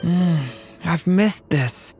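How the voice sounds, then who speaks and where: A woman voicing a gentle, wistful young dragonborn boy in a video game, earnest and a little hesitant. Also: fairly low pitch, extremely slow, over music.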